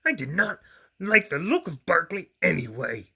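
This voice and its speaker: A small, fretful boy monster from a children's storybook , acted out by a man. The voice is pushed up high and swings about in pitch. He whines and pleads with great emphasis. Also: big pitch swings, moderate pace, vocal fry, pushed and bright, husky, heavily acted. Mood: sulky. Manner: whiny, wailing, emphatic. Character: little boy monster, easily upset, whiny and polite.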